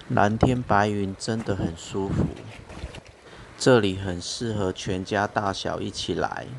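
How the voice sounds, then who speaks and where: A man from Taiwan hosting a fishing vlog, narrating in a chatty, upbeat way as he shows his gear and the spot. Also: fairly low pitch. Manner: chatty, upbeat, explains as he goes. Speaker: fishing channel host. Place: outdoor seaside fishing spot. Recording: outdoor wind and noise.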